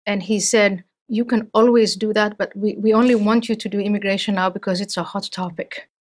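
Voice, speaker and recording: A middle-aged woman from Somalia, speaking as an interview guest in a thoughtful, measured way with frequent restarts. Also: mid-range voice, steady tone. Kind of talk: interview conversation.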